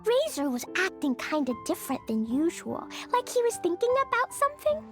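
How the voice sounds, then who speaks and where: Bubbly little kid girl in a video game, super high childlike voice, earnest, faint music. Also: huge pitch swings, brisk, full character voice. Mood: chatty. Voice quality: small, childlike. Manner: earnest, eager, guileless. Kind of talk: video game cutscene acting.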